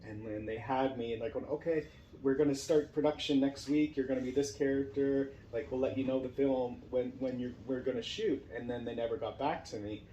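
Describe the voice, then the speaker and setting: A man's voice: medium pitch, steady tone, fairly quick, dark tone. A man talking casually as a podcast host and actor, telling stories in a room at a home. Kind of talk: casual chat. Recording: roomy echo, faint background noise.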